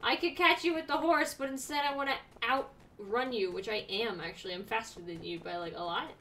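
A young woman comments sarcastically and bluntly over a game she is playing. Her voice is bright and sharp and a little high. It sits slightly back from a desk mic, with faint noise in the room. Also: recorded from a distance. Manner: sarcastic, blunt, quick reactions. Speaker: gaming streamer. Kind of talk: casual let's-play commentary. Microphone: desk mic, webcam.

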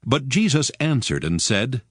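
A man narrating scripture from a script in a measured, formal manner. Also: medium pitch, sing-song, slight background noise.

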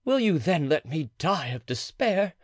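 Desperate nobleman, pleading, slightly high gravelly voice, dry close sound, read by a man. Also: sing-song, measured pace, no echo. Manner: pleading, emotional. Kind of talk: audiobook character reading.

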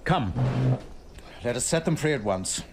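An actor playing a shrewd, commanding Roman tribune questioning a subordinate in a radio drama. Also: male voice, mid-range voice, lively intonation, a little slow, background noise, natural voice. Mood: resolute.

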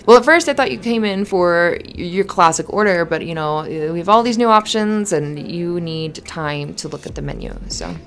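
A woman playing a cute, flustered, flirty barista in an ASMR roleplay, kind and giggly, with halting, rambling talk. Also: on the low side, animated, close to an everyday voice. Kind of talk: ASMR roleplay, conversational acting. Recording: music under the voice. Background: music.

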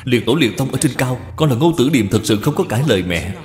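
A wronged, resentful grown son of old China, played by a man in a cartoon. He protests earnestly with lively pitch. Long reverb and faint music surround the voice. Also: quick pace, natural voice. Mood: pleading. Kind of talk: cartoon dubbing.

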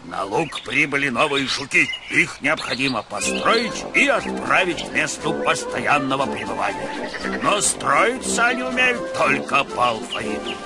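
A man's voice: mid-range voice, sing-song, measured pace, creaky. A man voicing a stern, commanding insect general who gives orders in a children's game. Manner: military, issuing orders. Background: music.

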